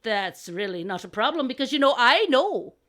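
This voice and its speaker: A woman's voice: a little high, sing-song, normal speed, fairly bright tone. A woman voicing a boastful, folksy crow in a told folk tale, sure he is the finest. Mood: cocky. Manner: cocksure, folksy exclamations.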